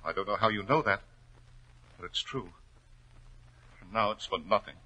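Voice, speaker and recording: An actor playing a desperate, hot-tempered aristocrat in a 1940s radio melodrama, impassioned and pleading, with a slightly rough, forceful voice. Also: male voice, on the low side, normal speed, heavily acted, rough recording. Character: desperate, hot-tempered aristocrat racehorse owner, cornered and guilty. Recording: old 1940s radio recording.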